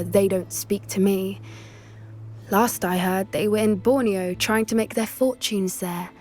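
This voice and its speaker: A woman performs a teenage British witch for a fantasy video game. She is earnest, articulate and a little wistful, at a medium pitch. Soft music sits behind her confiding delivery. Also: natural voice. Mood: reflective, wistful. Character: earnest teenage witch girl, animal lover, wistful but resolute. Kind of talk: video game dialogue acting.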